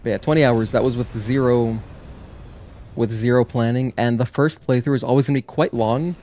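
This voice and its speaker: A man's voice: mid-range voice, fairly even intonation, normal speed, a little mellow, a little hiss or hum. A man doing casual, chatty gameplay commentary as a livestreamer, thinking aloud as he goes. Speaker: video game livestreamer. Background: game music.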